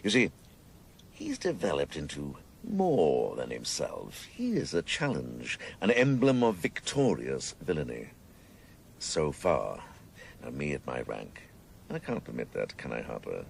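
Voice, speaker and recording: Vengeful senior police chief for radio drama: gravelly, grandiose, with wide dramatic swings. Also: male voice, very deep voice, huge pitch swings, unhurried, slight background noise, full character voice. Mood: sardonic, brooding. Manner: sardonic, grandiose, savouring his words.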